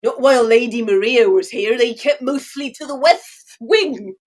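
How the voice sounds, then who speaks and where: A woman's voice: fairly high pitch, normal speed, a little mellow, lightly acted. A woman playing a dotty, food-obsessed housekeeper-cook in an audio drama, fussing and evasive. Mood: fussy, evasive. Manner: scatty, deflects questions with cookery talk.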